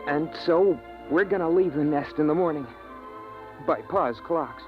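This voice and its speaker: A man's voice: fairly high pitch, unhurried, soft background music, lightly acted. An actor voicing a nervous young boy in a 1950s radio drama, stammering a little. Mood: wistful. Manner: hesitant, stammering. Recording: dull old radio transcription.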